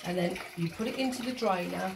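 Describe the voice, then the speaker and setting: A woman's voice: medium pitch, brisk, vocal fry. A middle-aged woman with an English accent, a teacher patiently explaining a household task step by step, filmed on a phone in her home kitchen and hallway. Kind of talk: instructional home demonstration. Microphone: handheld phone filming. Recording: echoey room, noisy phone audio.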